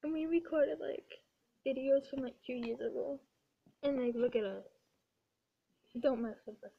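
A woman's voice: high-pitched, normal speed. A teenage girl chatting casually with her friend in a school hallway, bossy and giggly, sometimes dropping to a whisper, recorded on a close laptop webcam. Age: teens. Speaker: vlogger. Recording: dull lo-fi webcam audio with clear background noise.

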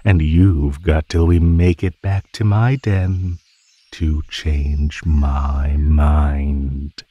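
A man voicing a predatory male naga in an ASMR roleplay, husky and breathy, teasing his prey in a mockingly sweet drawl. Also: very deep voice, fairly even intonation, very slow, dark tone, no echo, lo-fi sound, full character voice. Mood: playful menace. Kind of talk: ASMR roleplay, scripted monologue.

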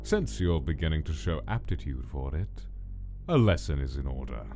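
A man's voice: extremely low pitch, very animated, normal speed, over music, heavily acted. A man voicing a cold, formal monster scientist who lectures in a stern, clinical manner, in a comic dub. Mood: stern, lecturing.

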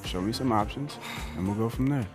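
A man's voice: lowish voice, a little fast, lightly acted. A man voicing a confident young American basketball player in casual video game dialogue, with music behind. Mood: relaxed. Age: young adult.

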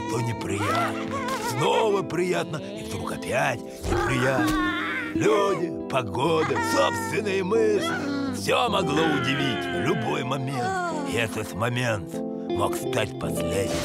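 Slow, swooping, jaded harbour pilot. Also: male voice, medium pitch, exaggerated voice. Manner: deadpan, drawn-out delivery. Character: weary, unshockable scruffy old harbour pilot. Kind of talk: cartoon voice acting. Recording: music behind the voice.

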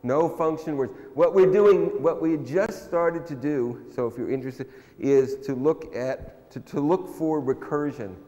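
An older man, a guest lecturer, answering audience questions in a relaxed, hesitant way with many pauses. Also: on the high side, dull audio. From United States. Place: large frescoed hall, indoors. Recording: long hall reverb.

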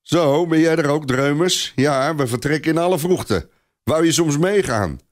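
A man voicing a gentle herald, mild and teasing yet thoughtful, in a storybook tale. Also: on the high side, animated, measured pace, voice pushed up.

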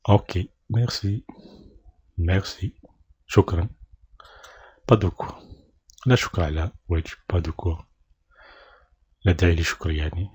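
A man teaching a French dialogue, saying each line slowly and repeating it several times, with short explanations in between. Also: low-pitched, darkish tone, breathy edge, no room sound.

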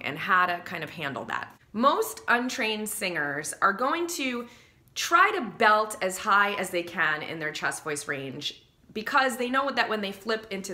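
A woman in her thirties speaks straight to the camera from a small room indoors, chatty and animated as she teaches singing. Her voice is bright and forward, and she drops readily into short sung phrases to illustrate. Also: lowish voice. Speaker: vocal coach.

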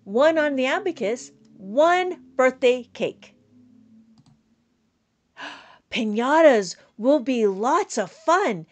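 Very slow, high-voiced woman reading to kids. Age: middle-aged. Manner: animated, sing-song, drawn-out words for young children. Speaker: teacher reading a children's counting book. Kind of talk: children's read-aloud with counting lesson. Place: small indoor room at home.

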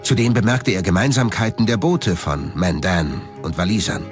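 A man reads scripted documentary narration in a low voice. His intonation moves expressively up and down. Music plays clearly behind him. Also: lively intonation, moderate pace.

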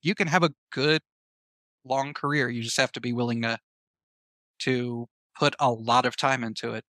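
A man with a bright, forward voice talks casually as a podcast guest. His pitch sits in the middle range, and the sound is dry and close, with no room around it. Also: steady tone, normal speed, dry recording. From American Southeast. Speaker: podcast guest, writer and filmmaker. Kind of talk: casual interview chat, giving advice.

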